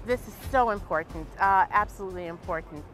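A middle-aged woman interviewed outdoors by a city street, speaking haltingly with many fillers into a handheld mic. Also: mid-range voice, over loud music. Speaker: supporter at a street rally. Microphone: handheld reporter mic.

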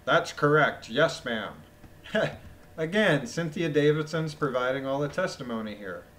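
A man with a phlegmy voice reading a document aloud with casual, incredulous, mocking commentary, often clearing his throat. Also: a little high, animated, a little slow, fairly bright tone. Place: voice-over of a screen recording.

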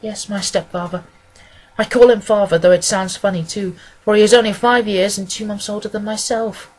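A reader puts on a good-humoured young woman in a classic storybook. She is nervous and deferential, rambling earnestly through her account. Her voice is slightly husky and lightly pitched. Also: steady tone, moderate pace, darkish tone, lightly acted, low-quality recording. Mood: indignant, flustered. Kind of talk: acted audiobook dialogue.

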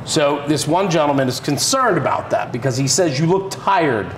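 Teasing middle-aged man, lively and high-pitched. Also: moderate pace, low-quality recording. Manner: teasing, mock-serious interrogator. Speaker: co-host reading viewer comments. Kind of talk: casual comedic banter. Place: indoor garage workshop. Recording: clear background noise in a workshop.

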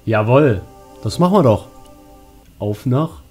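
A man's voice: medium pitch, lively intonation, slow pace, darkish tone, slightly rough. A man giving casual gaming commentary, thinking aloud colloquially. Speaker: let's play host. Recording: game music behind.

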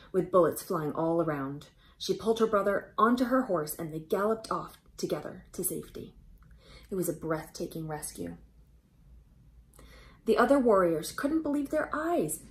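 A woman in her thirties reading a storybook aloud to children, expressive and emphatic, addressing them directly. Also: lowish voice. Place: indoors, small room, close to camera.

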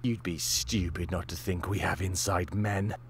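An actor playing a menacing male beast interrogator in a fantasy game, smug and deliberately intimidating. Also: deep voice, steady tone, vocal fry, a little hiss or hum. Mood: threatening. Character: sinister, menacing anthro beast interrogator, male, smugly threatening.

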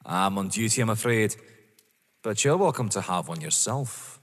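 In a reverberant hall, a man plays a detective inspector in an audio drama. He is courteous and deferential but keeps probing, with measured phrasing and widely swinging intonation. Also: fairly low pitch, lively intonation, fairly bright tone. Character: polite, persistent male British police detective inspector.

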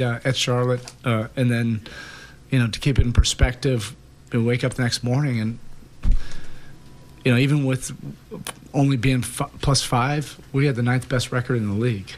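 A man's voice: mid-range voice, slight background noise. A man in his forties, a head coach answering questions at a press conference, thoughtful, with many fillers and repeated words. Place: press room in front of sponsor backdrop. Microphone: table mic.

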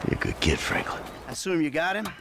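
An actor playing a smug, sardonic middle-aged career criminal in a video game, speaking in deliberate little speeches. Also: male voice, fairly high pitch, wildly swooping pitch, gravelly, heavy background noise, full character voice. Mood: wry. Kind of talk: video game cutscene acting. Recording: music over game dialogue.

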